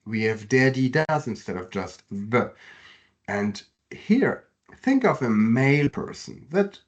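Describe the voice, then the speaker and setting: A man from Germany with a German accent, explaining in earnest how to learn his native language. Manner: earnest, explanatory, with lively pitch swings. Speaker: language teacher. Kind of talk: language lesson to camera. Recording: dull lo-fi sound.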